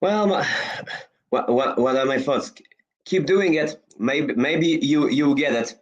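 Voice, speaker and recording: A man co-hosting a livestream, speaking in a hesitant, halting way with chuckles. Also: mid-range voice, highs cut off. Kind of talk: casual livestream chat.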